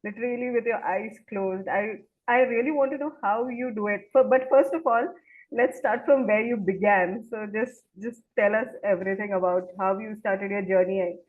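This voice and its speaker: A woman's voice: medium pitch, normal speed. A woman hosting an interview in a conversational way, asking questions of her guest. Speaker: interview host. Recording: muffled, phone-like narrow band.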